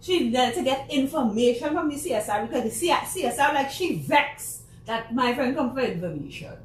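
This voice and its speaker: A Trinidadian woman speaks with passion and emphasis, her voice pitched high. She laughs often as she makes her points. The sound is roomy and distant, with a faint hiss underneath. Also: expressive, moderate pace. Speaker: guest, theatre producer. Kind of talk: casual talk show chat.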